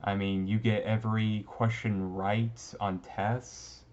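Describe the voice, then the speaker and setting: Casting: man as emo goth vampire classmate, shy and sweetly smitten, lowish voice, slightly muffled lo-fi audio, romance roleplay. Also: level tone, slow, darkish tone. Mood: surprised, hesitant. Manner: hesitant, bashful, casual, teasing. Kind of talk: romantic roleplay audio drama, talking to listener.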